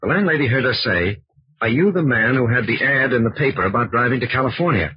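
An actor playing an earnest, eager junior FBI agent with a husky voice in a 1940s radio drama. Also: male voice, medium pitch, lively intonation, quick pace. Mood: keen, businesslike. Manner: eager, inquisitive. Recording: muffled old radio recording.

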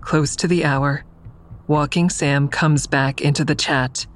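An actor playing an anxious narrator who recounts a tense ordeal in measured first person, in an audio drama. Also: mid-range voice, steady tone, lightly acted. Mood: resigned dread.

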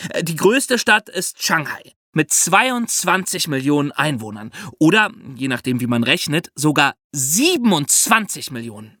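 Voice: mid-range voice, big pitch swings, a little slow, pushed and bright, no echo, studio quality. A podcast guest explaining things to young listeners with vivid comparisons and questions. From China.

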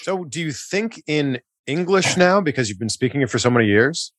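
A man's voice: fairly high pitch, lively intonation, normal speed, a bit bright, no echo. A man hosting an interview, asking casual questions and laughing along with his guest. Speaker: interview host.

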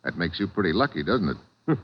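A man's voice: sits low, big pitch swings, brisk. A man playing a wry, tough American agent in a 1940s radio drama, asking questions in a casual, clipped way. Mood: probing, cool. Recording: dull vintage radio recording.

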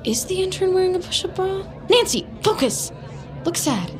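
A woman's voice: very high-pitched, expressive, normal speed, faint music behind. A woman playing an anxious, scheming woman's inner monologue in an audio drama, frantically talking to herself. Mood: panicky, scrutinizing.

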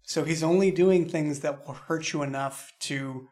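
A man's voice: on the high side, measured pace, darkish tone. A man talking casually in a behind-the-scenes discussion, explaining things with an occasional chuckle in his speech. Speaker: podcast creator in a cast discussion.

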